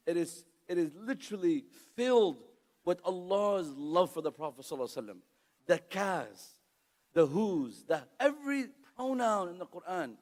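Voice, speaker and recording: Impassioned high-voiced male preacher. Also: big-room sound. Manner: impassioned, recites Arabic verses quickly. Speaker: Islamic preacher. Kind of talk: sermon. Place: lecture hall.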